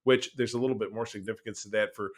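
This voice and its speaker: A man co-hosting a podcast, reviewing TV in casual chat with an enthusiastic, emphatic and halting delivery that often repeats words. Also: lowish voice, fairly even intonation. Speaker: podcast co-host.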